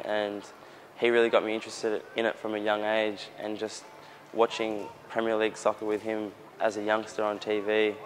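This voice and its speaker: A man's voice: fairly low pitch, slight background noise. A young Australian man from Melbourne answers interview questions earnestly, often repeating words as he searches for phrasing. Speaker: young footballer. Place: outdoors near a gym with traffic.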